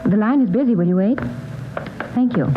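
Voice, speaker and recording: An actress playing a polite, efficient hospital receptionist, brisk and courteous, in a 1940s radio drama. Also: female voice, medium pitch, phone-quality sound, music in the background, natural voice. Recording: thin, noisy 1940s radio recording.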